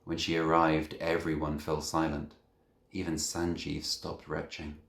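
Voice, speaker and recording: A man reading a children's novel aloud as an expressive narrator. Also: a bass voice, measured pace, vocal fry. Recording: echoey room.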